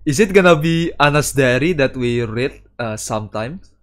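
A man streaming a visual novel playthrough, reading the text aloud with dramatic pauses and his own reactions. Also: medium pitch, animated, fairly bright tone.

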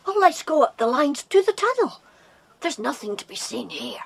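A woman's voice: high-pitched, big pitch swings, normal speed, creaky, heavily acted. A woman playing a friendly, curious English boy in a children's audio drama.

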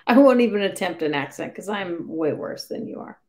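A woman's voice: fairly low pitch, animated, brisk, a little mellow. A woman in her thirties chatting casually and hesitantly about books on a video call, understated in manner. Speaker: book club co-host. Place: small room at home.

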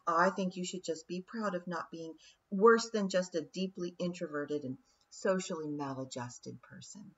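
A woman's voice: lowish voice, moderate pace, slightly rough, dull audio. A woman voicing a cold, cruel fairy queen who speaks in a silky, condescending, taunting way in an audiobook. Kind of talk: audiobook character voice.

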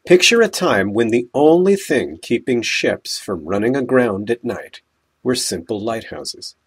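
A man giving calm, measured scripted narration for a documentary as a voice-over. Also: mid-range voice, slightly rough.

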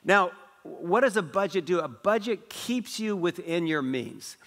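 A middle-aged man who grew up in West Africa, preaching a sermon as pastor in a large church auditorium, animated and gesturing broadly. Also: high-pitched, measured pace, gravelly. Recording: long reverb of a large hall.

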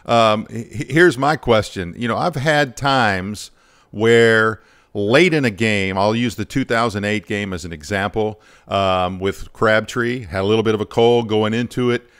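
A man's voice: lowish voice, unhurried, a bit bright, smooth. A man in his fifties hosting a conversational sports interview, speaking into a close broadcast mic. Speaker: podcast host, sports broadcaster. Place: home studio in a furnished den. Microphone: close broadcast mic on a boom arm.

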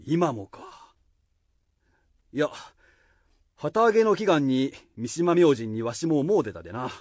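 A man's voice: fairly high pitch, big pitch swings, a little slow, a little mellow, no echo. A man playing a lordly samurai warlord in a period radio drama, with weighty archaic speech. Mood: musing. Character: lordly middle-aged samurai warlord, musing and commanding.

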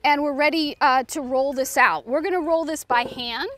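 A woman's voice: sits high, normal speed, a bit bright, no room sound. A middle-aged woman giving an informal cooking demonstration, instructing as she works. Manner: informal, instructive, talking while working. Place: outdoors on a lawn beside a food truck.

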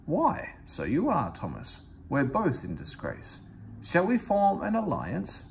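A man's voice: on the high side, huge pitch swings, takes it slowly, vocal fry, slight background noise, voice pushed up, exaggerated voice. A man voicing a big, proud male railway engine in a storybook, pompous, grand and hearty. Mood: grand, friendly.

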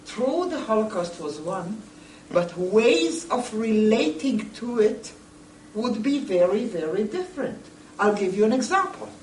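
A woman's voice: mid-range voice, very animated, darkish tone. An older woman gives a public lecture, speaking emphatically and with rhetorical questions. She is picked up from some distance in an echoey meeting room, with a little background noise. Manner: deliberate, emphatic, rhetorical questions, addresses listeners directly. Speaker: lecturer, museum educator. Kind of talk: public lecture to a seated group. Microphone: camera a few metres away. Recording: roomy, distant camera microphone with noise.

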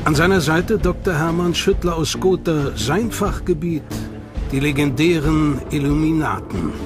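A man narrating a documentary in a measured, dramatic way. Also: fairly high pitch. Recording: narration over loud music.

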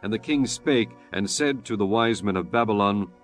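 A man narrating a dramatized scripture reading in a solemn, measured manner. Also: fairly low pitch, faint music behind.

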